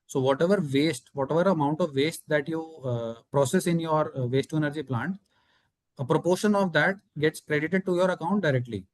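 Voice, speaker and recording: A man's voice: a little high, a little fast, no echo. A man with an Indian accent, a company executive giving formal, methodical answers on an earnings call.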